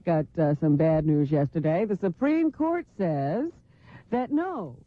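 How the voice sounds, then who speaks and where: A woman delivers the news on a talk radio show, chatty and quick to laugh between items. The recording is dry and narrow-banded, like an old phone-line broadcast. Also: on the low side, lively intonation, no room sound. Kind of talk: reading news in radio banter. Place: New York radio studio.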